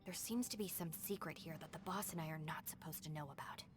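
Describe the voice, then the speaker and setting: A woman voicing a calm, practical young gang deputy in an anime game, earnest and matter-of-fact. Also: sits low, fast talker, soft background music, natural voice.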